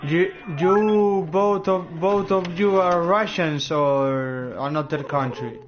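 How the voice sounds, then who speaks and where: A man's voice: fairly high pitch, steady tone, slow, highs cut off, faint music behind. A man chatting casually in broken English while playing a game, tossing in slang. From Romania. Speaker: gamer streamer. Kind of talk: casual gaming chat.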